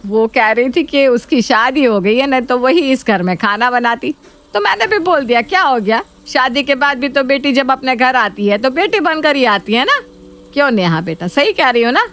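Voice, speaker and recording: Woman playing a middle-aged mother-in-law, bossy, scolding, fairly high voice. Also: animated, moderate pace, fairly bright tone. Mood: sweet-talking.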